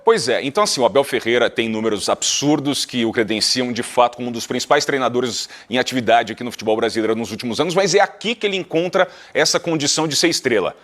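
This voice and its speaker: A Brazilian man, a radio journalist guest, arguing a point in a measured way with hesitant fillers. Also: medium pitch, a bit bright. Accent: Brazilian Portuguese. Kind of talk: sports debate commentary.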